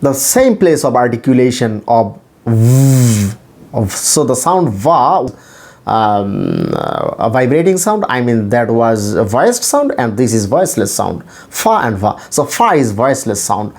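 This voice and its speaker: A man teaching English pronunciation in a deliberate, repetitive instructional way, sounding out individual sounds. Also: mid-range voice, wildly swooping pitch, gravelly, darkish tone. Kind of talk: instructional pronunciation lesson to camera or screen.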